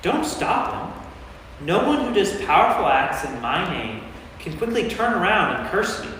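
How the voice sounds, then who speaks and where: Stern commanding teacher acted by a man, forceful, slightly high voice, declamatory Bible drama, very echoey hall. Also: sing-song, normal speed, heavily acted. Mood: firm, warning. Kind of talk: amateur staged Bible reading. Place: large church interior. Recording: echoey church hall from far off, faint noise.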